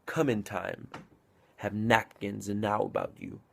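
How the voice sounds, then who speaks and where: A grumbling old-world gatekeeper, acted by a man, low and creaky in voice. He is sardonic and exclamatory, mockingly welcoming sinners in a Shakespearean stage monologue. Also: wildly swooping pitch, unhurried, fairly bright tone, slight background noise, full character voice. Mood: mocking, irritated.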